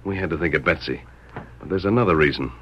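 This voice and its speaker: An actor playing a calm, noble masked cowboy hero with measured, formal speech in a radio Western. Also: male voice, a bass voice, dull audio, slight background noise. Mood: calm, explaining. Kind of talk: old-time radio Western acting.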